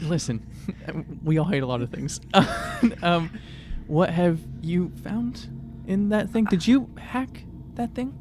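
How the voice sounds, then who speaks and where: A player at a tabletop game talks in loose, joking conversation. The voice is medium in pitch, and the recording carries clear background noise. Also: lively intonation, takes it slowly, darkish tone. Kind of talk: casual, joking table chat. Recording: noisy room recording.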